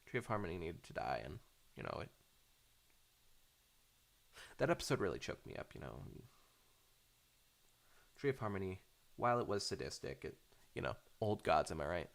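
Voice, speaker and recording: A man chatting casually in a halting, hesitant way, rambling off on tangents. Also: low-pitched, sing-song, vocal fry. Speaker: fandub creator talking off the cuff.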